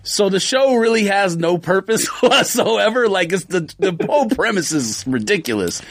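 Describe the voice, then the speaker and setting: A man with a slightly high voice chats casually on a podcast. His delivery is lively and emphatic, full of slang, and recorded very dry. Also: normal speed, dry recording. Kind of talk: casual chat with slangy opinions.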